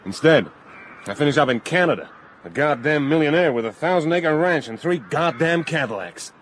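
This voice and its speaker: An actor playing a brash, sentimental Canadian millionaire with a North American accent in a comic radio drama, theatrical in manner. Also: male voice, a little high, animated, moderate pace, a little hiss or hum, natural voice. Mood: wistful, bitter. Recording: faint outdoor ambience with crows. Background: crows and faint outdoor ambience.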